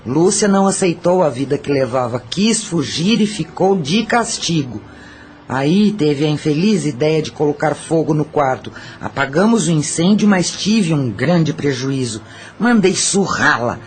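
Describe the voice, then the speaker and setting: A woman's voice: low-pitched, expressive, a little slow, darkish tone, slight background noise. Woman playing a tormented former madam, confessing coldly. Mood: cold confession. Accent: Brazilian. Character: tormented female spirit, former brothel madam, cold and regretful. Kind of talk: Portuguese-language audio drama acting.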